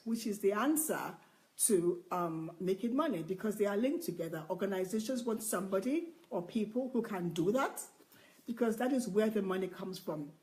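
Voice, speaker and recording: A soft-spoken woman sharing her view in a book discussion, quiet and earnest with some hesitations. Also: mid-range voice, poor audio. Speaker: book club participant. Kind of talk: discussion contribution.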